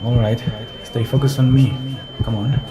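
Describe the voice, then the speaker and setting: A man playing a gruff teenage school bully with a protective, flustered side in a scripted audio roleplay. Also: mid-range voice, fairly even intonation, normal speed, noisy background, close to an everyday voice. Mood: urgent, reassuring. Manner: brusque, tender underneath.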